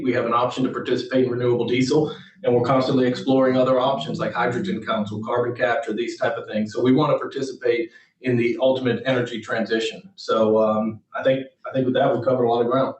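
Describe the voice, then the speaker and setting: Flat-voiced man, distant echoey sound. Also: mid-range voice. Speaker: company executive. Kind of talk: investor interview. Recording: distant echoey call audio.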